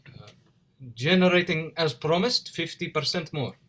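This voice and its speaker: A man plays an earnest immigrant engineer in a stage drama, in a slightly high male voice with an Eastern European accent. He is polite and proud, speaking formally in short clipped phrases with missing articles. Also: a little slow, a crowd in the background, close to an everyday voice. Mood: deferential. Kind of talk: dramatic play reading. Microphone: video call.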